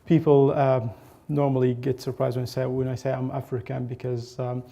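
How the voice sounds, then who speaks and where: A man from Egypt giving an academic conference presentation, speaking in a halting way with frequent pauses and restarts. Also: mid-range voice, flat delivery, gravelly, mellow.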